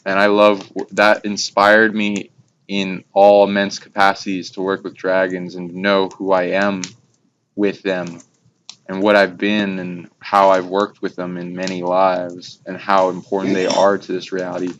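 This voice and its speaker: A man with a very low voice talks in long, wandering sentences. His delivery stays quite monotone, in the style of an informal spiritual talk. The recording is muffled and lo-fi. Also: a little slow. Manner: rambling, long run-on monologue. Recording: dull lo-fi recording with background noise.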